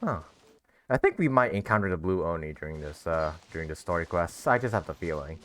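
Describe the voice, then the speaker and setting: A man's voice: low voice, expressive, fairly quick, a bit bright. A man doing casual, jokey let's play commentary, reading game text aloud. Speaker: gaming streamer. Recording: faint game music behind.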